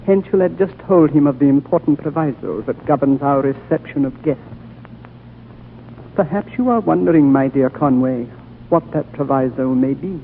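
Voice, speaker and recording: An ancient, serene High Lama speaks in measured, gentle tones, as an actor brings him to life in a vintage radio play. His voice sits a little high for a man. It all comes through a thin, tinny old broadcast with a faint hiss. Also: close to an everyday voice. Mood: solemn. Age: older. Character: ancient male High Lama, calm and wise. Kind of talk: 1930s radio drama acting.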